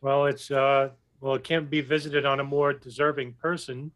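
Man, podcast guest, measured, hesitant, frequent uh pauses, middle pitch. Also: level tone, fairly bright tone. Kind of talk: casual podcast interview.

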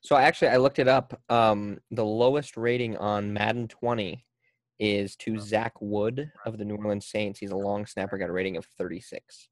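A man's voice: lowish voice, steady tone, normal speed, no echo. A man co-hosting a casual sports podcast, laid-back and a bit hesitant. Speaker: podcast co-host. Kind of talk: casual chat.

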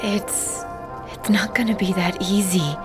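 Actress as mysterious young woman, slow measured speech, guarded, resigned, horror radio play, music behind. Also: medium pitch, fairly even intonation, natural voice. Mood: eerily calm. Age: young adult. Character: mysterious beguiling young woman, guarded, trapped by dark bargain. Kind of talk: dramatic audio horror acting, understated.

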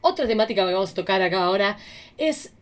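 A woman's voice: medium pitch, animated, fast talker. A woman with an Argentine accent hosting a casual comedic chat in a playful, theatrical, exclamatory way. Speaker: podcast host.

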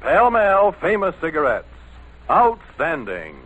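High-pitched, dramatic vintage radio announcer man. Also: wildly swooping pitch, takes it slowly, a bit bright. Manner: dramatic, declamatory. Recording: dull vintage radio recording.

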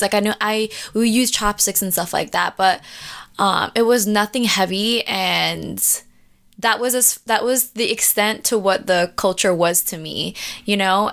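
A young adult woman from Jamaica in casual chat, animated and emphatic, often checking in with her listener. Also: mid-range voice. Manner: animated, emphatic, keeps asking "do you know what I'm saying?".

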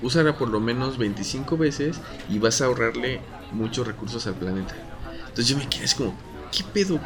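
A man's voice: medium pitch, expressive, a little fast, music in the background. Slangy Mexican man in casual chat. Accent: Mexican Spanish. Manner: slangy, joking, rambling with restarts. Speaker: podcast co-host.

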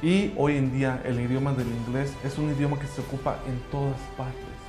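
A man whose first language is English gives an earnest, emphatic motivational talk about his language school, speaking in halting phrases. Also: medium pitch, fairly even intonation. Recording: roomy echo with faint music.

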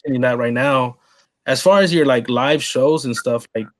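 A man's voice: mid-range voice, normal speed. A man from Texas with an American accent, interviewing someone in a casual, conversational style. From San Antonio, Texas, USA.

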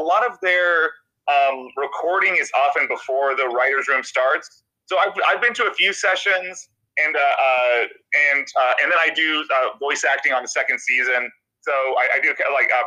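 A man in his thirties with a nasal, very bright voice sitting slightly high. He talks casually as a podcast guest, stumbling through fillers and restarting sentences. The sound has a radio-like quality. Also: dull audio. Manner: halting, many restarts and fillers. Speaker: TV comedy writer, guest. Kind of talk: casual interview chat.

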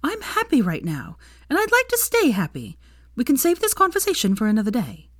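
Woman doing gleeful adult woman teacher, high excitable voice, lively swoops, dry close sound. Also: a little fast, no echo. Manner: high, animated and emphatic.

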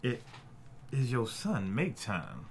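A man's voice: mid-range voice, huge pitch swings, takes it slowly, vocal fry, a little hiss or hum, heavily acted. A man playing a blunt, defiant drifter father in a screenplay table read. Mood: confrontational. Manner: blunt, confrontational.

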